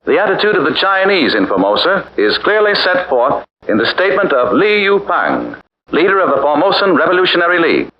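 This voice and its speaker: A man's voice: high-pitched, huge pitch swings, a little fast, muffled recording. A man narrating a 1940s radio documentary in a formal, declamatory announcer style. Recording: echoey old radio broadcast with music.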